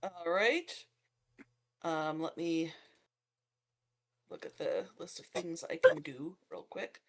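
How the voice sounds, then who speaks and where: A woman's voice: lowish voice, animated, slow. A woman chatting casually as a player in a tabletop roleplaying game.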